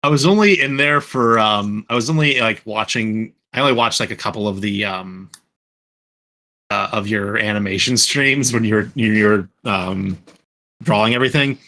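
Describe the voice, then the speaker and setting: A man's voice: fairly low pitch, moderate pace, pushed and bright. A young man hosting a casual podcast chat from home, rambling with many fillers and restarts. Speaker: podcast host.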